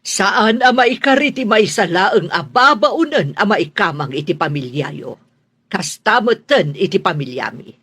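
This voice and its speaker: A stern Filipino mistress of the house in a radio drama. She scolds haughtily in a husky voice with big swings of pitch, recorded dry and close. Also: lively intonation, measured pace, a bit bright, dry recording. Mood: suspicious, disapproving. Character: stern, class-proud wealthy mother and mistress of house.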